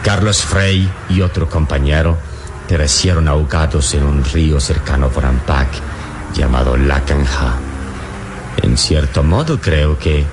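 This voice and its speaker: Very deep-voiced, solemn adventurer hero. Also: male voice. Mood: courteous, solemn. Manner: grandiloquent, measured, courteous. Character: wise, bold adventurer hero, gallant and solemn. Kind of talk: old radio serial acting. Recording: noisy old radio serial, vehicle drone.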